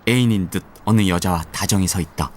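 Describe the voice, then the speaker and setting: Guy narrating a radio drama, lowish voice, calm descriptive read, music under it. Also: fast, slight background noise. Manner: even, descriptive storytelling.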